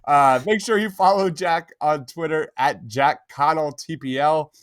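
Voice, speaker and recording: A man's voice: fairly high pitch, a little slow, no echo. A man co-hosting a podcast in an enthusiastic, casual chatty style, often tossing in "man". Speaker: podcast co-host.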